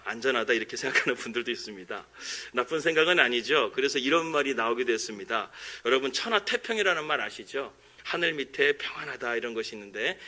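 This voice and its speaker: Bright-voiced man preaching as a pastor. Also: mid-range voice. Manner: earnest, conversational, chuckles at his own jokes. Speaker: pastor preaching a Sunday sermon. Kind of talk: sermon with anecdotes, jokes and prayer. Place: church service, preaching from the pulpit.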